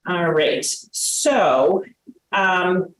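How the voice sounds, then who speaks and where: A woman's voice: on the low side, extremely slow. A woman chairing a town committee meeting, speaking with many fillers and hesitations. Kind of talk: running a public meeting. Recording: roomy echoey meeting room.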